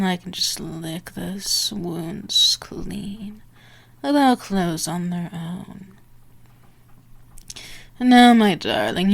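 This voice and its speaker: A woman performs a possessive female vampire for an ASMR roleplay. She speaks very slowly with a gravelly fry at a medium pitch, teasing and taunting, sliding from breathy whispers into sharp, commanding jabs. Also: exaggerated voice. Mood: sated, possessive.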